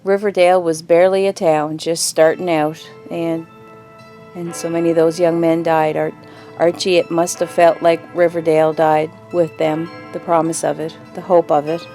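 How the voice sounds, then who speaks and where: A woman playing a grave mother who tells an old family story in a measured, wistful way in an audio drama. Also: sits low, level tone, warm tone, soft background music. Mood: solemn.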